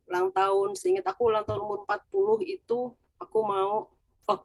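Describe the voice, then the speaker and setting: A woman in her forties sharing personal stories in a casual group chat over a video call, lively, chatty and quick to laugh. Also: medium pitch, vocal fry, no echo, rough recording. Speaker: guest sharing her story.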